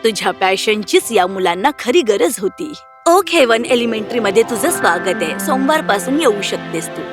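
A woman voicing an approving, reassuring school principal in an animated fairy tale, with a composed manner. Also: fairly high pitch, normal speed, over music, close to an everyday voice.

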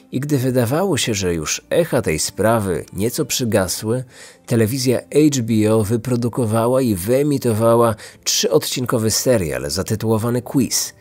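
Low-voiced man narrating true crime. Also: darkish tone. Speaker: true crime podcast host. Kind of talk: scripted narration.

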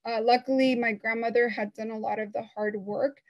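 A woman in her thirties from El Salvador tells her own story over a video call. Her voice sits fairly high, and she talks openly and casually with plenty of pauses. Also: fairly even intonation, a little mellow, lo-fi sound. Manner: open and conversational, frequent uh and um. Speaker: foundation board member.

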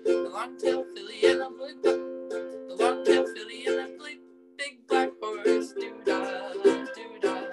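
A woman's voice: sits high, sing-song, unhurried, vocal fry, over loud music. A young woman on a video call, chatting casually and then singing a folk tune, strumming ukulele in a small room at home. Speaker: open mic performer. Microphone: webcam on video call. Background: her own ukulele playing.

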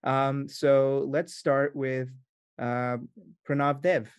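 A man's voice: mid-range voice, little pitch movement, extremely slow, clean tone, no room sound, dull audio. A man in his thirties hosting a casual video-call interview from his home kitchen, friendly and easygoing. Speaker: host.

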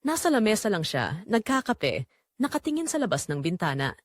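Woman narrator, scripted confessional storytelling, animated intonation, dry close sound. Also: medium pitch, fairly quick, no echo. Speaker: narrator of an audio drama, reading as the wife.

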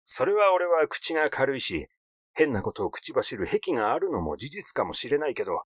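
A man's voice: medium pitch, big pitch swings, normal speed, slightly rough, dry recording, clean studio sound. A man voicing a glib fifty-year-old artist in a story, nervously defiant, protesting in chatty, casual speech. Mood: sulky grumbling.

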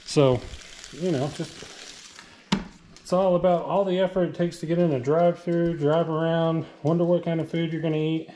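A man's voice: on the high side, steady tone, moderate pace, warm tone, slight background noise, poor audio. A man in his thirties filming a casual cooking vlog in his home kitchen, joking and chatty with lots of fillers. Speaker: vlogger cooking meal prep.

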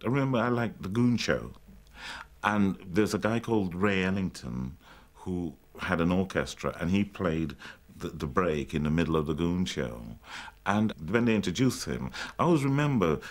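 Low-voiced man recalling memories. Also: animated, normal speed. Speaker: interviewee. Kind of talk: documentary interview.

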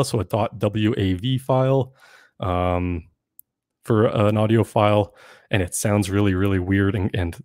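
Young male workshop presenter, lowish voice, laid-back with lots of ums, close dry desk mic. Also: no echo. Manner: relaxed, filler-heavy explaining with frequent "um". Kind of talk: casual online workshop teaching. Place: small room at home. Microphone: desk mic on stand, webcam.

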